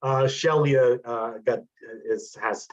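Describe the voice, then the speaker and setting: A man's voice: mid-range voice, steady tone, slow, dull audio, slight background noise. A man hosting an online play reading, chatting casually and encouragingly, often repeating and restarting his phrases. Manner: encouraging, appreciative. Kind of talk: casual chat between readings. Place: remote online group call.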